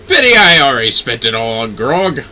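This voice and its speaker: Man doing a surly sailor, sharp-voiced. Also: medium pitch, sing-song, fairly quick, voice pushed down. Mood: surly, defensive. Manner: coarse, slangy, grumbling. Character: blunt, surly, hard-drinking sailor turned gunnery instructor. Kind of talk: fantasy game dialogue read aloud.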